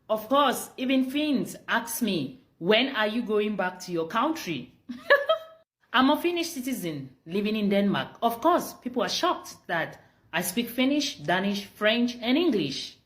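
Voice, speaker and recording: A woman speaking in a wry monologue with a repeated refrain. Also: on the high side. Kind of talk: spoken monologue clip.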